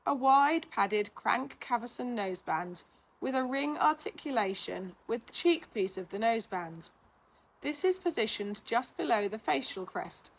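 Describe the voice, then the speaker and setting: A woman reading a scripted article aloud in a measured, instructional manner. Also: on the high side, creaky, slightly rough, rough recording. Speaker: reader of a blog, physiotherapist.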